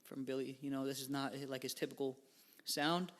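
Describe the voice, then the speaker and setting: A man's voice: medium pitch, fairly even intonation, normal speed, fairly bright tone. A young man in his twenties, a musician guest on a podcast, talking casually in a rambling way with lots of fillers and slang. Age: 20s. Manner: rambling, filler-heavy, laid-back slang.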